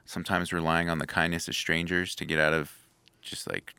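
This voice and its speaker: Gravelly low man, chatty interviewer. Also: little pitch movement, fairly quick, sharp tone, no room sound. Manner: loose, rambling, lots of "like". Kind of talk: casual conversation.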